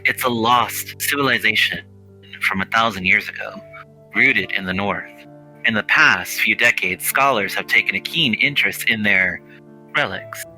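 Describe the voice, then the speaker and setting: Man acting older male scholar, visual novel mentor, low sharp-edged voice, measured, formal, patient, music behind. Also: low-quality recording. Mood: grave, measured. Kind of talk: reading visual novel dialogue in character.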